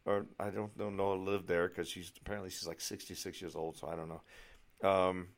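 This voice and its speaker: A man's voice: sits low, level tone, a little fast. A middle-aged American man vlogging in a casual, anecdotal way, rambling and exasperated, with some mild swearing. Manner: rambling, exasperated, mildly profane.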